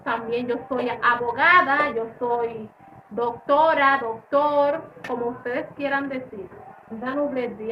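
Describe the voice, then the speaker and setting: A woman teaching a language class, repeating each phrase slowly and translating it. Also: high voice. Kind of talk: slow bilingual language lesson. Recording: echoey room, distant mic, dull and noisy.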